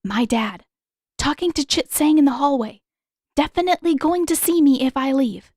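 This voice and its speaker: A woman voicing a brisk, stubborn, flustered teenage girl with urgent emphasis in a fan fiction audiobook. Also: sits high, expressive, darkish tone, no room sound, studio quality, pitched above the performer's own voice.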